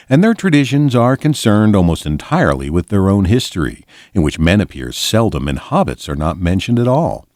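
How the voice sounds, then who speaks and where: Male storybook narrator, low register, lively melodic phrasing, measured delivery, very dry close sound. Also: no room sound. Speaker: volunteer reader. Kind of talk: storybook read-aloud narration.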